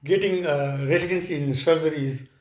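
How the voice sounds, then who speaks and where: A man in his thirties from Nepal, a surgeon, answers questions on a living-room sofa. His voice sits somewhat high, and he speaks calmly and matter-of-factly, humming between phrases. The camera mic picks up a clear room echo. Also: brisk. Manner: calm, matter-of-fact, with frequent hums. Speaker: surgeon and interview guest. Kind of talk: casual conversational interview. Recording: echoey room.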